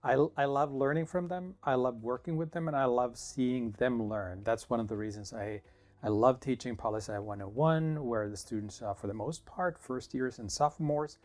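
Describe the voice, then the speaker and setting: A man's voice: mid-range voice, darkish tone. Middle-aged male professor. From Finland. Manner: thoughtful, measured, with small restarts. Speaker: political science professor. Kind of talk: interview answers.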